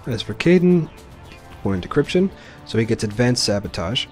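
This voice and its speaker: A man's voice: mid-range voice, sing-song, normal speed, soft background music. A man giving casual, informal let's play commentary, explaining his choices as he plays. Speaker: gaming video host.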